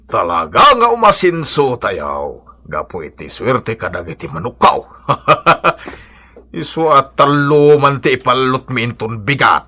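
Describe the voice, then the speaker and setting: Jolly braggy gambler dad in a radio comedy, bright raspy voice, slow and hammy. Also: male voice, medium pitch, big pitch swings, exaggerated voice. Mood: boastful, gleeful. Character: jolly, boastful father addicted to cockfight betting. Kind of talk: Ilocano radio comedy drama acting.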